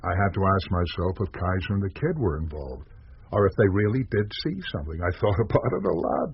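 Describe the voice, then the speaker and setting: An actor playing a pragmatic, guarded small-town American newspaper man in a radio drama, measured and reasoning aloud. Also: low voice, sing-song, husky, full character voice. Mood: reflective. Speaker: newspaper editor character. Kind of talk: naturalistic radio drama dialogue. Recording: dull lo-fi radio recording, faint noise.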